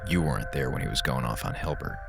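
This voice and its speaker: An actor playing an exasperated, sarcastic communications officer in an audio drama. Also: male voice, rumbling low, quick pace, vocal fry, over music, full character voice. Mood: skeptical, curious. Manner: excitable, sarcastic. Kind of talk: audio drama acting, naturalistic dialogue.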